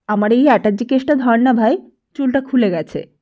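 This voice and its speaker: A woman's voice: a little high, moderate pace, lightly acted. A woman narrator voicing a chatty, gossipy young female college student with a casual, familiar manner in an audiobook. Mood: casual asking. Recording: dull lo-fi sound.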